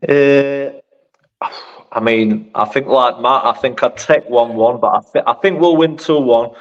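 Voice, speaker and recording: A man's voice: mid-range voice, unhurried. Rambling man, muffled sound. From Sheffield, England. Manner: rambling, repeats "you know what I mean". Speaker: podcast co-host. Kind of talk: casual chat.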